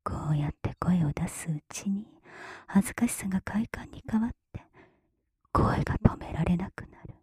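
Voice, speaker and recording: A woman performing erotic ASMR roleplay in a breathy whisper, with a teasing, gently commanding big-sister manner, close on the mic. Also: fairly low pitch, moderate pace, no room sound. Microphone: close mic, dry.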